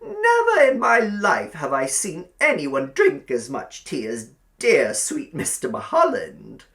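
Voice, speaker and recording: Man putting on a cooing, high-swooping, doting landlady. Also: wildly swooping pitch, vocal fry, a bit bright, full character voice. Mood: wistful, sly. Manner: fussy, gushing, drawn-out emphasis. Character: sweet, cooing, eerily doting middle-aged landlady. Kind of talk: audiobook character acting.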